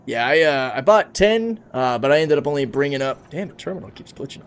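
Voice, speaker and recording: A man's voice: a little high, animated, brisk, clear, resonant tone. A man in his thirties chatting casually on a game livestream, reading out and answering chat comments as he plays. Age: 30s. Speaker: streamer.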